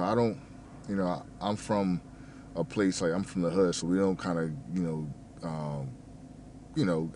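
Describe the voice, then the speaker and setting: A very deep, low-pitched male voice, slightly mumbled, from a man in his thirties who grew up in New Jersey. He talks in a loose, rambling way, stumbling over words, leaning on fillers and cursing casually. A faint background hum sits under the voice. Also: darkish tone. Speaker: restaurant manager and fitness vlogger.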